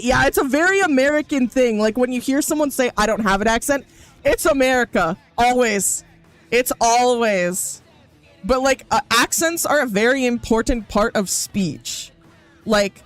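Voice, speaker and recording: A woman streaming as a VTuber, chatting casually and reacting to chat while she plays a game. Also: a little high. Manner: animated, reacting to chat, rising to outbursts. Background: game music.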